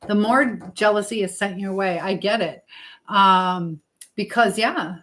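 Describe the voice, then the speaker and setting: A woman's voice: mid-range voice, unhurried, a bit bright. Rambling woman chatting on a live stream. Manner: rambling, trailing off mid-thought. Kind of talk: casual live chat, reading out viewer comments.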